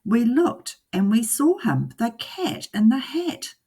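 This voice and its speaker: A woman, a teacher, reading a children's story aloud in an expressive storytelling style. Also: fairly high pitch, slow, breathy edge.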